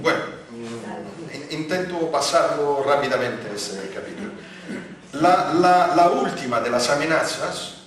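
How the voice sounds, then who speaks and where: Italian guy giving a talk, slow and halting, lots of ums, sounds far from the mic in a huge echoey hall with faint noise. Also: on the high side, fairly bright tone. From Italy. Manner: halting, with many fillers and restarts. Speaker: lecturer. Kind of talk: public lecture with slides. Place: large conference hall.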